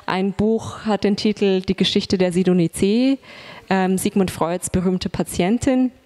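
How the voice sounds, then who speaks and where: Measured woman artist with handheld mic. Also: mid-range voice, steady tone, warm tone. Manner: measured, articulate. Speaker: artist and publisher. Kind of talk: talking about her artwork. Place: gallery room.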